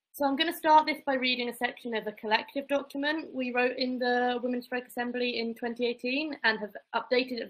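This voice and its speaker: A young woman with a croaky, recovering voice giving an earnest activist talk on a video call, partly reading aloud. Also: a little high, a bit bright, low-quality recording. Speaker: activist speaker. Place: home room with plants and bookshelves. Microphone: laptop webcam on a video call.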